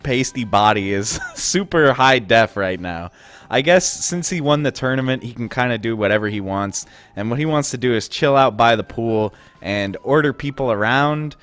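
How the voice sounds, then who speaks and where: A man's voice: mid-range voice, fairly bright tone. A young man giving casual, wry commentary over game footage, amused and often laughing at the absurd moments. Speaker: gaming video host.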